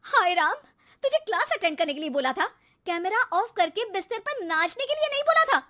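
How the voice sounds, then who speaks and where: A woman voicing an exasperated, sharp-tongued mother and housewife who nags with sarcasm in an animated story. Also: very high voice, brisk, voice pushed up, full character voice. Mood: scolding. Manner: sarcastic, nagging, exclamatory.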